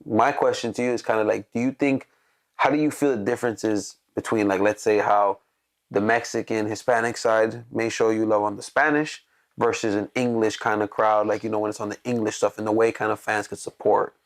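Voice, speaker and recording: A young male host leads a casual studio interview in a low, gravelly voice full of vocal fry. He talks easygoing and slangy, circling around his questions with lots of filler words. His tone stays loose and conversational. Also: steady tone, brisk.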